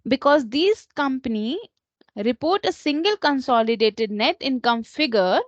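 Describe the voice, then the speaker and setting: A woman presenting an instructional slide lesson as a software trainer, explaining in a slightly halting way. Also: sits high, expressive, dry recording. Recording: dull lo-fi close recording.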